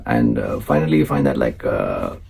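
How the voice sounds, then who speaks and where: Gravelly-voiced man hosting. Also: rumbling low, expressive, a little mellow, a little hiss or hum. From South Asia. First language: Maithili. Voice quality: gravelly, husky. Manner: halting, many fillers and restarts. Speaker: host. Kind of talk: solo show introduction.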